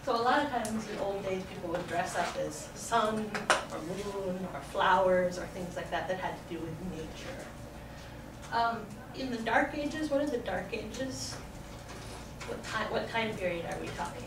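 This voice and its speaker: A woman's voice: medium pitch, moderate pace. A woman teaching a school class informally, prompting students with questions and repeating their answers. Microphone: distant video camera in the room. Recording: distant mic, echoey room, clear background noise. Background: classroom noise.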